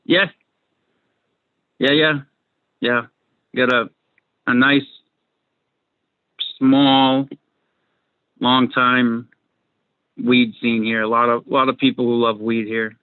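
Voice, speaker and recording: A man's voice: mid-range voice, flat delivery, takes it slowly, pauses often, clear, resonant tone, dry recording. A young man from Massachusetts with a Massachusetts accent, chatting casually as a guest over a video call, rambling and repeating his words. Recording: dry tinny video call audio.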